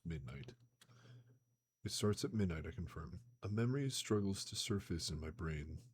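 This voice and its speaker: A man with a slightly hoarse voice reading a novel aloud on a live stream, slipping into casual slangy remarks between passages. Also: low-pitched, moderate pace. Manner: reads steadily, breaks into excited slangy chat.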